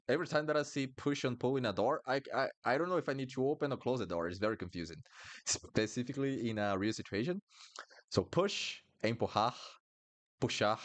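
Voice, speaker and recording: A man's voice: mid-range voice, sing-song, fairly quick. A man from Brazil with a Brazilian Portuguese accent, teaching a live online Portuguese lesson in a chatty, apologetic way and repeating words for learners. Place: Brasilia.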